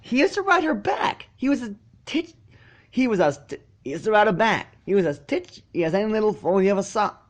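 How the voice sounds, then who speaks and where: A man's voice: high voice, very animated, a little fast, pitched above the performer's own voice. A man voicing an indignant young female pony, a loyal little filly with an insistent manner. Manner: yelling, insistent.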